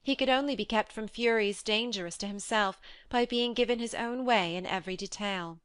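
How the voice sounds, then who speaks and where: Smooth-voiced woman narrating a story. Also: medium pitch, no room sound. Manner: measured, expressive storytelling. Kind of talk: audiobook dramatic reading.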